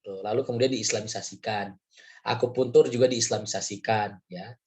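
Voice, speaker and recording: A man with a hoarse voice from a cold, giving a casual, rambling Islamic study talk with frequent filler words. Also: lowish voice, fairly even intonation, brisk, a little mellow. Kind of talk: casual religious lecture.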